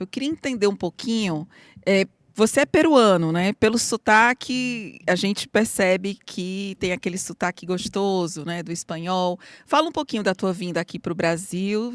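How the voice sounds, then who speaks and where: A woman's voice: medium pitch, no echo. A middle-aged woman hosting a podcast interview in a casual, affirming way. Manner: warm, affirming interviewer, frequent "né". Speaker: podcast host.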